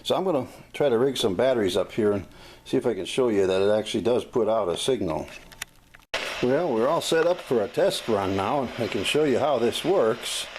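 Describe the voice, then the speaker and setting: An American man giving a casual, unscripted demonstration as a hobbyist, talking in a folksy, plain-spoken, halting way. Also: mid-range voice, lively intonation, a little mellow. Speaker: hobbyist demonstrating a vintage gadget. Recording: clearly noisy amateur recording.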